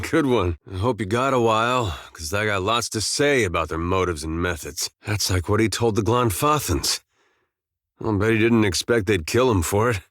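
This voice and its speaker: A man playing low, folksy, wry farmer-soldier. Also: moderate pace, a bit bright, no room sound, lightly acted. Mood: wry, teasing. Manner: laid-back, drawling folksy phrasing, dry humour. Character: easygoing folksy farmer turned soldier, wry, loyal, male. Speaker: video game companion character. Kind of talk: game dialogue and battle barks.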